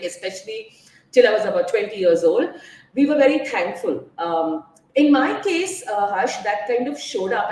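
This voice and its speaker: A woman's voice: medium pitch, a little mellow, far from the mic. This is a woman speaking as a podcast guest, sharing her own story in a loose, conversational way. She hesitates a lot, with many "uh"s and restarts. Her voice sits back from the microphone in an echoey room. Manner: open and personal, with frequent "uh" fillers and restarts. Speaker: nutrition guest. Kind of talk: casual interview conversation.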